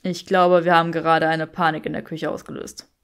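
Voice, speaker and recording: Lady putting on a posh dry young wizard guy, bit lower, haughty, fantasy audiobook. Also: level tone, brisk, smooth. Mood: courteous, composed.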